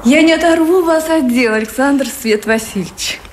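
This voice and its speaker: Woman acting highish playful lady translator, radio play, arch and affectionate, faint hiss. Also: normal speed, lightly acted. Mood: playful, coy. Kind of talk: Russian radio drama acting.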